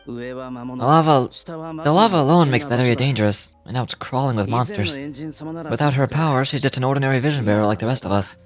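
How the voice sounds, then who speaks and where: A man's voice: medium pitch, fairly quick, natural voice. Man voicing an earnest warrior over music. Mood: concerned. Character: earnest, dutiful young male warrior in fantasy game. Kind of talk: anime game voice acting.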